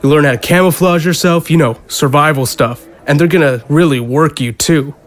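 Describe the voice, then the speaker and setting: A man's voice: a little high, moderate pace, natural voice. An actor playing a cocky, proud young male soldier in a conversational audio drama. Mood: proud, keen.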